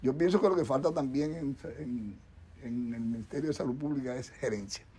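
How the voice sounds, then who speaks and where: Mellow-voiced Dominican doctor, rambling. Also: male voice, mid-range voice, brisk, breathy edge. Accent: Dominican Spanish. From Dominican Republic. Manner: rambling, with frequent restarts and repeated words. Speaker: guest doctor. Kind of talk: casual chat on a talk show panel.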